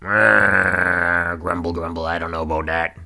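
A man's voice: deep voice, fairly even intonation, measured pace, vocal fry, bright, forceful tone, heavily acted. A man voicing a grumpy old rhinoceros in a children's story, muttering and hesitating as he talks. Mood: grumbling. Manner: grumbling, hesitant muttering with ums. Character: grumpy old cantankerous male rhinoceros, big, forgetful, muttering.

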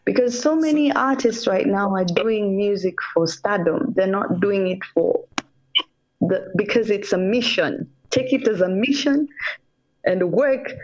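A woman's voice: mid-range voice, a little slow, a little mellow, lo-fi sound. A woman from Kenya, a reggae singer, talking earnestly about her music in a casual radio interview, in short repeated phrases. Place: radio call-in.

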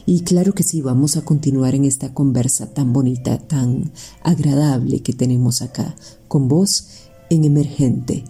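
A Costa Rican woman hosting an interview, speaking in a slow, formal and deliberate way. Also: very deep voice, slightly rough, faint music behind. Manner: warm formal praise, slow deliberate phrasing. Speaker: interview host.

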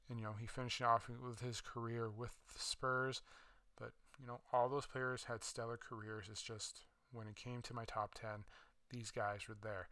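A man's voice: mid-range voice, pushed and bright, no echo. A man hosting a solo sports podcast, talking casually with many fillers and restarts, his voice slightly worn. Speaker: podcast host.

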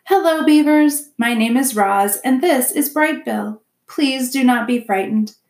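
A woman voicing a gentle, polite, literal-minded robot mother with formal, precise phrasing in a children's storybook. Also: sits high, unhurried, roomy sound, pitched above the performer's own voice. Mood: friendly, reassuring.

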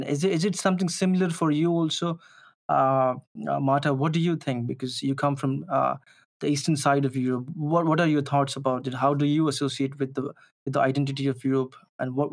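A man moderating a casual discussion, halting, repeating words and filling gaps with "uh". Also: medium pitch, fairly even intonation.

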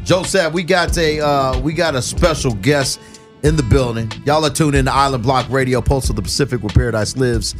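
A man's voice: medium pitch, brisk, faint music behind. A man hosting a morning radio show, announcing in a sing-song way and often stumbling over repeated words. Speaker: radio host. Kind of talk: radio show host banter. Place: radio studio in Hollywood.

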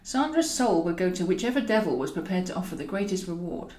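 A British woman reads comic fiction as an audiobook narrator. Her delivery is wry and very animated in pitch. The recording has an audible roomy echo. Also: fast. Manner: wry, expressive. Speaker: author and narrator.

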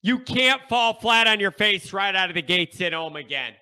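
Stuffed-up man, high and sharp. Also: expressive, moderate pace, clean tone. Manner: emphatic, repeats points with bursts of shouting. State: stuffed-up nasal congestion. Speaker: show host. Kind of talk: sports talk monologue.

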